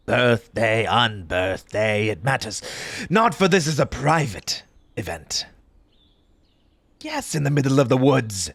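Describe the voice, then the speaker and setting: A man playing an eccentric, fussy tea-party host in an audio roleplay, pompous and formal in old-fashioned diction, with a breathy, husky voice and an undertone of grief. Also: mid-range voice, big pitch swings, takes it slowly, pauses often, fairly bright tone, no echo, heavily acted. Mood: indignant, scolding. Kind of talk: theatrical character audio roleplay.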